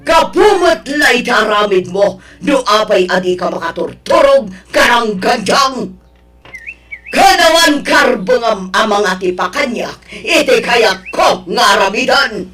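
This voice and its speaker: An angry, menacing mistress in an audio drama, played by a woman, heard from off the mic in an echoey room. She drags out her threats and shouts with a very lively, swooping pitch. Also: a little hiss or hum. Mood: angry, threatening. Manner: confrontational, shouted threats with drawn-out words. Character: angry, menacing mistress confronting a rival wife. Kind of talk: Ilocano audio drama acting. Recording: roomy echo, distant from the mic.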